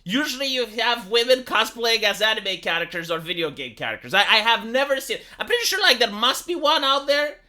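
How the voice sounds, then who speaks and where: High-pitched, very bright Romanian man ranting. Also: expressive, fairly quick, hardly any pauses. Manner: sarcastic, exasperated, with rhetorical tag questions. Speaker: YouTube commentator and streamer. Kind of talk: opinionated casual commentary rant.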